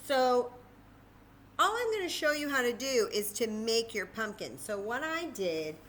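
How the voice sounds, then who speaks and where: Bright voiced lady doing kids story time and crafts, chatty, bit of background noise. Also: fairly high pitch, very animated, measured pace. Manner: simple step-by-step explaining to children. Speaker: library story time host.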